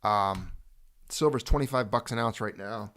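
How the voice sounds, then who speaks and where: A man's voice: fairly low pitch, normal speed, a bit bright. A middle-aged man hosting a casual home chat, rambling and joking. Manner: chatty, rambling, jokey. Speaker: channel host.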